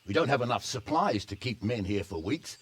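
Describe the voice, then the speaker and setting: An actor playing a practical, resolute medieval lord in a video game, speaking in a measured, pragmatic way. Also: male voice, mid-range voice, lively intonation, fairly bright tone, lightly acted. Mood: grave, reasoning. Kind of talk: dramatic video game acting.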